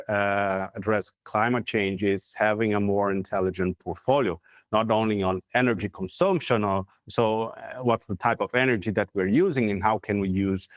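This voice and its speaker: Interview guest: man in his forties with a Brazilian accent, smooth voice, halting English, dry room. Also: on the low side, no room sound. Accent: Brazilian Portuguese. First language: Portuguese. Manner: halting, searching for words with many fillers. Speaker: guest, corporate real estate head. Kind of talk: video call interview. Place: plain indoor room. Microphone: lapel mic on video call.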